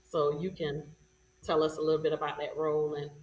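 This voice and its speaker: A woman's voice: deep voice, level tone, fairly quick, a little mellow. A woman presenting guests in unscripted, conversational introductions. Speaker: show presenter introducing cast. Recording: echoey, distant mic, background noise.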